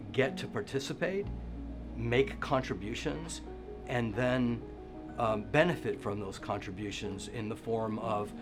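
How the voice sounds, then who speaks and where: A man giving an interview testimonial for a promotional film. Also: on the low side. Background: guitar music.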